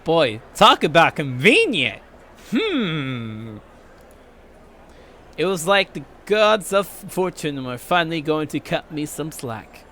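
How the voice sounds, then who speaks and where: Lively young American man, game streamer. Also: on the high side, slow pace, slight background noise. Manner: irreverent, swearing. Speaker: let's play host. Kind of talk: reading game narration with casual commentary.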